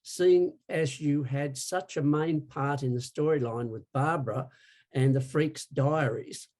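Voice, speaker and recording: A man's voice: on the high side, unhurried, a little mellow. A man co-hosting a casual interview, reading questions sent in by listeners. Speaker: co-host, interviewer.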